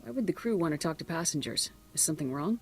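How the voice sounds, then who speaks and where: Brisk, blunt soldier woman. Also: deep voice, expressive, a little hiss or hum. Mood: wary, blunt. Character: tough, wary female Republic soldier, blunt. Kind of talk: video game voice acting. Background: game music.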